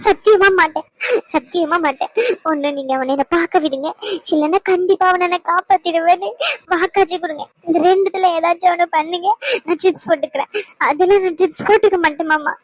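A woman's voice: very high voice, fast talker, no echo, rough recording. A woman acting as a young woman in hospital, crying and pleading with her uncle, tearful and stubborn.

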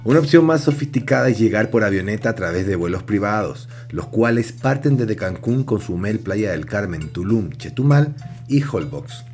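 A man reading a calm, informative scripted travel voice-over. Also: fairly low pitch, moderate pace. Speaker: narrator. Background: music.

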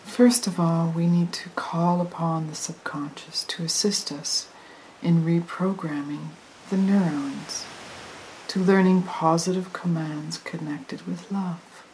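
A woman leading a guided meditation as a hypnotic induction, soothing and often dropping to a breathy near-whisper. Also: lowish voice, slow pace, low-quality recording. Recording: hissy recording with steady noise.

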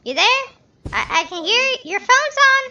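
Squeaker kid, naive and whiny, very high cracking voice-changer pitch, creaky edge. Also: male voice, sing-song, unhurried, bright, forceful tone, muffled recording, heavily acted. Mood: innocent. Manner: whiny, innocent, hesitant. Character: naive squeaky little fifth-grade boy gamer. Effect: pitch-raising voice changer. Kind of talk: in-character game voice chat.

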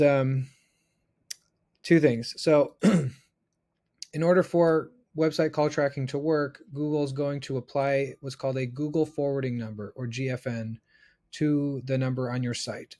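A man's voice: medium pitch, a little mellow. Man giving a casual step-by-step tutorial. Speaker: instructor. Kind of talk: casual screen-share software tutorial.

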